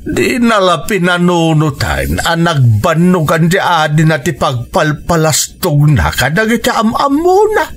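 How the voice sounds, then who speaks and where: An exasperated male relative in a radio drama. His voice sits fairly high and swings widely in pitch. He leans hard on exclamations and draws them out. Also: sing-song, unhurried, a bit bright.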